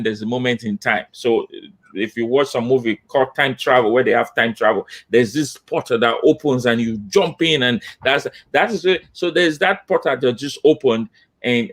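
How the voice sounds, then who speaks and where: A middle-aged man, a diversity consultant, talking emphatically on a video call from a home office, repeating points for emphasis. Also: mid-range voice. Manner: animated, gestures with hands, emphatic repetition. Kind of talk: panel discussion. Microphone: laptop webcam on video call.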